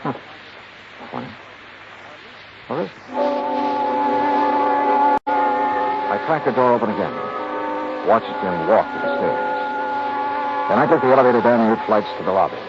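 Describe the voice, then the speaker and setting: An American actor playing a wry, unflappable insurance investigator in a 1950s radio detective drama, clipped and casual. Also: male voice, on the low side, sing-song, unhurried, pauses often, over loud music. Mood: guarded, cool. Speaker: lead actor and narrator. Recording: muffled, noisy 1950s radio recording.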